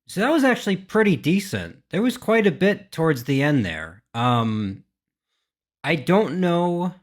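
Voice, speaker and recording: A man's voice: a little high, sing-song, a little slow. A man giving casual, unscripted reaction commentary as a gaming video host, thinking aloud and hesitating as he goes.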